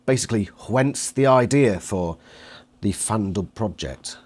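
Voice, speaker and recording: Low-voiced man interviewing. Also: lively intonation, unhurried, a little mellow. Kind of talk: casual interview questioning.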